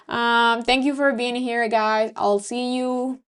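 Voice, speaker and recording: Slow, clear Venezuelan woman streamer. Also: a little high. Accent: Venezuelan Spanish. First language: Spanish. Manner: relaxed, thinking aloud with frequent ums. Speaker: livestream trading host. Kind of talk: casual live market commentary.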